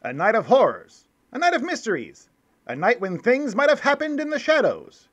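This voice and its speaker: A man voices a puppet monster boss who fancies himself a detective. His pitch is high and leaps about wildly as he delivers everything with grand drama. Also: very animated, normal speed, a bit bright, highs cut off, a little hiss or hum, heavily acted. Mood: grandly dramatic. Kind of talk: puppet comedy parody of crime drama.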